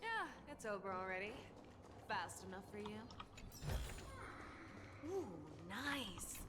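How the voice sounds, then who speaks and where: A woman's voice: mid-range voice, big pitch swings, slow pace. A woman voicing a cool, confident, taunting huntress in a video game. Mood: smug, teasing. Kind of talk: video game battle lines. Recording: game audio under loud music.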